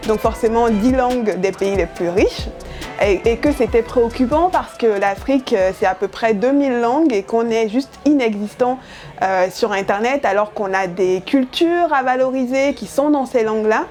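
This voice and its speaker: A woman's voice: on the high side, moderate pace, darkish tone, faint music behind. A young woman whose first language is Yoruba, speaking earnestly and thoughtfully about her work as a journalist, with frequent hesitant fillers. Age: young adult. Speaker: journalist and editorial project lead.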